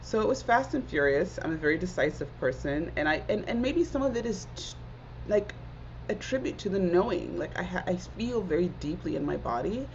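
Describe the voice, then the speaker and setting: A woman's voice: fairly low pitch, lively intonation, a little fast, a little mellow, a little hiss or hum. A woman in her forties from Zimbabwe, a guest speaking earnestly and reflectively in a casual interview, often dropping in "like". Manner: earnest, reflective, many "like" fillers. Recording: dull lo-fi audio.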